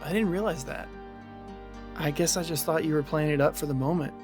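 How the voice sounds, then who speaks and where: A man playing a calm young man who shares secrets in an audio drama, in a casual conversational voice. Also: fairly high pitch, brisk, music in the background, natural voice. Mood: candid.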